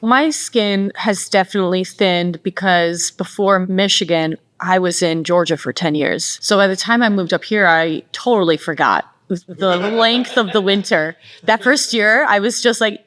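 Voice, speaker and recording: Woman, first language Polish, interview guest, casual and friendly, crisp clear voice. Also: mid-range voice, a little slow, few pauses, fairly bright tone. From Northern Illinois, USA. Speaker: shop co-owner, interview guest.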